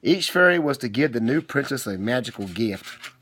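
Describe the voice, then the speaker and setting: A middle-aged man with a fairly low voice for storytelling reads aloud to his child. He is theatrical and teasing, and keeps interrupting himself with asides. The homemade recording has faint noise behind him. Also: expressive, moderate pace. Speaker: father telling a bedtime-style story.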